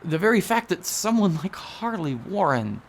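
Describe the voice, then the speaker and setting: A man's voice: sits high, big pitch swings, moderate pace, creaky, full character voice. A man playing a haunted, intense writer of horror stories in a radio drama, speaking in an earnest, florid, articulate way. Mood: indignant, insistent. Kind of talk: theatrical radio drama acting.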